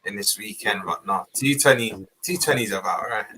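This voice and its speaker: A man's voice: on the low side, a little fast, pushed and bright, slightly rough, poor audio. A man in a casual football chat, eager and teasing his friends about the results. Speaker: co-host, football fan.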